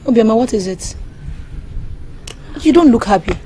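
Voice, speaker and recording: An actress playing a concerned, inquisitive village friend with a Nigerian accent in a film drama. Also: female voice, on the high side, animated, normal speed, dark tone, slight background noise, natural voice. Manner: probing, questioning.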